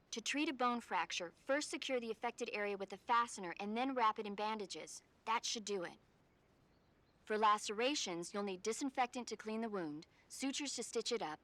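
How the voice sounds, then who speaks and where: Actress doing a calm female army medic from a video game, reassuring, walks you through it step by step. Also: medium pitch, natural voice. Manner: composed, reassuring, instructive. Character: calm female military paramedic guiding a wounded soldier. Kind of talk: scripted game tutorial dialogue over radio.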